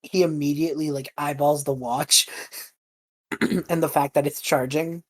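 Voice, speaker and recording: A woman's voice: deep voice, steady tone, unhurried. A woman playing a tabletop roleplaying game, narrating her character's actions in a casual, rambling, informal way. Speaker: player in a tabletop roleplaying podcast.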